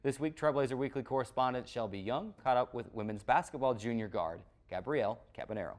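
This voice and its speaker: An American man hosts a college sports recap show, running through fixtures and features like a play-by-play announcer. His voice sits at an ordinary male pitch. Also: quick pace, noisy background.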